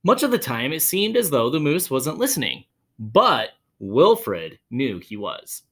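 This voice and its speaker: Man reading aloud, wildly expressive pitch. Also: measured pace. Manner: expressive, dramatic storytelling, addressing children directly. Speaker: children's read-aloud host, teacher or school staff. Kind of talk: animated picture-book read-aloud with chatty asides.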